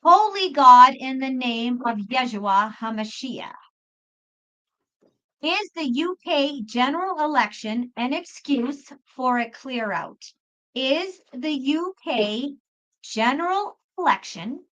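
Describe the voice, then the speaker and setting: A woman with a high-pitched voice reads tarot for a live audience online. She talks casually and emphatically, circling back over her phrases. The sound is somewhat dull and lo-fi. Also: fairly bright tone. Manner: emphatic, chatty, repeats phrases. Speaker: host and tarot reader. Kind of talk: live spiritual tarot reading, casual chat with viewers. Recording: dull lo-fi live stream audio.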